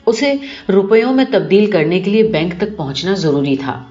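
A woman reading a short story aloud in an expressive, scripted narration style. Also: mid-range voice. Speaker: audiobook narrator. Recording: muffled, roomy recording with music behind. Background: music.

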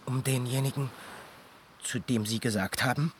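An actor playing an earnest, jealous young suitor who speaks formally and urgently in a radio play. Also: male voice, mid-range voice, fairly even intonation, normal speed, a little hiss or hum, natural voice. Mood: jealous, accusing.

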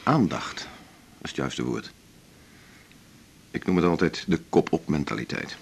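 Opinionated doctor in a radio play, husky deep voice, wry, kinda lectures you. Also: male voice, expressive, a little slow, exaggerated voice. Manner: wry, pontificating. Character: self-assured, opinionated male doctor, an old colleague. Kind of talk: naturalistic Dutch radio drama dialogue.

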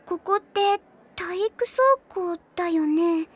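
A woman voicing a gentle, anxious little girl in an anime audio drama, timid and hesitant. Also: very high voice, fairly even intonation, takes it slowly, no echo. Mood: timid, hopeful. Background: background music.

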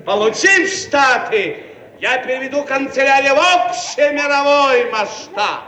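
An actor playing a pompous, self-important Soviet bureaucrat in a theatrical satirical radio comedy, officious and oratorical. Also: male voice, extremely high pitch, unhurried, pushed and bright, heavily acted. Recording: old radio archive, echoey, distant, noisy.

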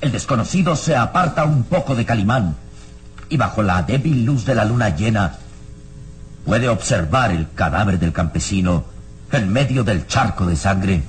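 An actor narrating an adventure radio serial in a solemn, suspenseful, dramatic style. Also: male voice, lowish voice, animated, slight background noise, close to an everyday voice. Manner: suspenseful, solemn, measured phrasing. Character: omniscient suspenseful adventure storyteller.

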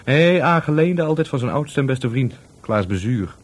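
A man's voice: medium pitch, lively intonation, normal speed, breathy edge. A man playing a cagey, cheeky market trader in a Dutch radio play, breezy, slangy and evasive. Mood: offhand.

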